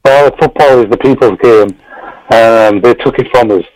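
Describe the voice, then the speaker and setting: A man speaking as a guest author, croaky tonight and passionate, often repeating himself. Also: medium pitch, moderate pace, a little mellow, low-quality recording. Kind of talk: casual interview chat.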